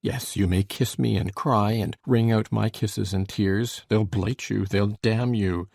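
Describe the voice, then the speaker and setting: A man playing a tormented, bitter lover in a dramatized audiobook, with a gravelly, husky voice and an anguished, intense delivery. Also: lowish voice, steady tone, measured pace, a little mellow, no echo, clean studio sound. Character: tormented, brooding lover, bitter and fiercely passionate.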